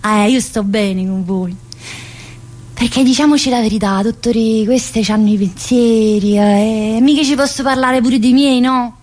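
A woman's voice: a little high, fairly even intonation, unhurried, a little mellow. An actress playing a restless, needy, brash woman prisoner in a theatrical monologue, speaking colloquial, dialect-laced Italian with a southern accent. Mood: confiding. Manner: colloquial, dialect-laced, addressing an unseen teacher.

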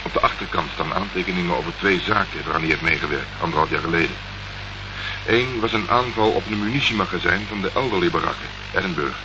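An actor playing a terse, dogged private detective in a Dutch radio play, asking clipped, probing questions. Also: male voice, deep voice, fast, lightly acted. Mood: matter-of-fact. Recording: noisy, muffled old radio recording.

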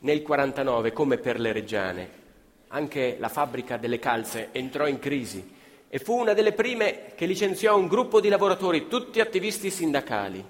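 A man's voice: mid-range voice, very animated, moderate pace. A man narrating dramatically as an actor-storyteller in radio theatre, declamatory, with rhetorical questions and repetitions. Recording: reverberant hall, live music behind. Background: live violin and plucked strings.

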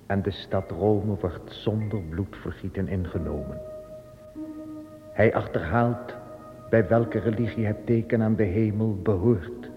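A man narrating a radio drama in a measured storytelling manner. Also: fairly low pitch. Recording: reverberant dull recording with music.

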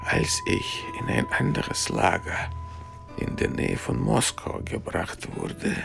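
An actor playing a weary Russian former labour-camp prisoner in a radio drama, brooding and halting, with breath in his voice. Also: mid-range voice, wildly swooping pitch, vocal fry, heavily acted. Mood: mournful, remembering. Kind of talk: German radio drama acting. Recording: music behind the voice.

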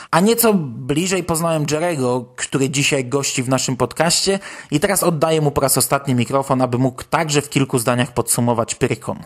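A man hosting a podcast, giving conversational commentary. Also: a little high. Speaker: podcast host.

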